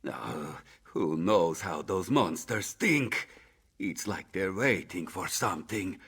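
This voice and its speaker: A man's voice: sits low, expressive, slow pace, a bit bright, breathy edge, full character voice. A man playing a frightened soldier in a fantasy video game, reporting in an urgent, dramatic way. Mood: shaken.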